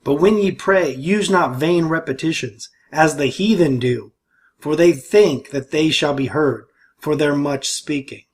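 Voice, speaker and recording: A man giving casual, disapproving commentary and reading aloud somewhat haltingly. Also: a little high.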